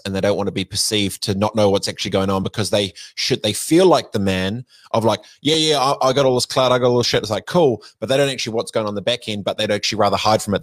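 A man's voice: fairly low pitch, no echo. A man interviewing a guest, thinking aloud with many fillers before asking probing questions. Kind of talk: interview conversation.

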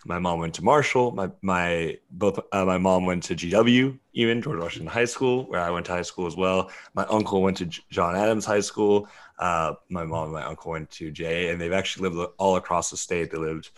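A man's voice: deep voice, a little fast. A young man from West Virginia, a guest filmmaker and actor, talking casually in an interview and rambling with fillers and restarts. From West Virginia, USA. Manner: rambling, self-correcting, many fillers.

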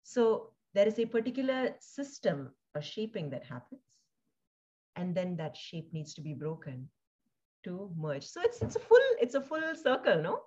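A woman born in India and raised in Singapore, speaking thoughtfully as an interview guest, with frequent pauses, fillers and restarts. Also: medium pitch, very animated, darkish tone, dull audio. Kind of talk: reflective conversational interview.

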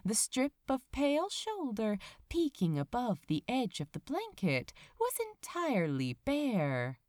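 A woman reading a story aloud as an expressive audiobook-style narrator. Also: fairly high pitch, measured pace, no echo, studio quality. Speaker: podfic reader, narrator.

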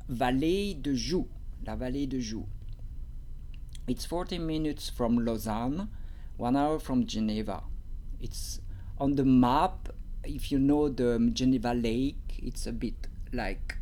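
Slow, hesitant Swiss French speaker. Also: medium pitch, darkish tone, a little hiss or hum. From Switzerland. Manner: hesitant, searching for English words with many fillers and restarts. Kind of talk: casual talk sharing creative tips.

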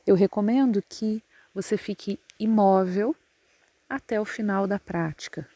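A Brazilian woman guides a meditation as a yoga nidra instructor. Her voice is dark and relaxed, and she speaks very slowly, leaving long, soothing pauses. The sound is dry and close, with little room around it. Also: medium pitch, slightly rough, dry recording. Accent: Brazilian Portuguese.

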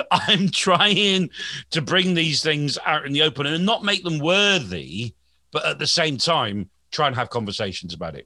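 A man's voice: mid-range voice, pushed and bright, no echo, studio quality. A man in his forties, a comedian hosting a podcast, chatting in a rambling, self-deprecating way with frequent restarts. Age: 40s. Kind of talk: casual podcast chat.

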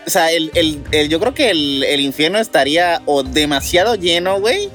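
A man with a notably high voice and a Mexican accent talks as a podcast co-host. He is informal and sometimes doubles back over his words. Music runs behind him. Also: animated, normal speed, fairly bright tone. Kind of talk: casual news commentary on strange stories.

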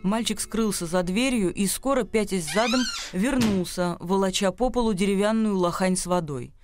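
A man with a notably high voice narrates a radio drama. He reads literary prose as a scripted storyteller, with faint music playing behind him. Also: normal speed.

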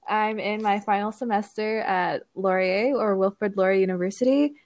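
A woman's voice: medium pitch, normal speed, darkish tone. A young woman in her twenties, a university student from Canada, a guest in casual chat, talking playfully with lots of "like" and giggles.

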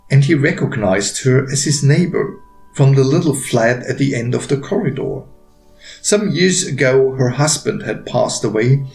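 A man narrating a written story in a deliberate, expressive way with pauses, as an author reading his own work. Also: mid-range voice. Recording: roomy echo, faint music behind.

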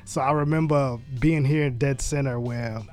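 A man's voice: medium pitch, fairly even intonation, normal speed, darkish tone, faint music behind. A man from New York hosting a casual interview podcast, talking in an agreeable, slangy, laid-back way.

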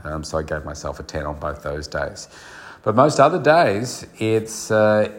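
Congested, low-voiced man talking earnestly. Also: steady tone, measured pace. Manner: earnest, reflective, with frequent fillers. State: congested, nasal from a head cold. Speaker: podcast host and coach. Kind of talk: solo podcast monologue.

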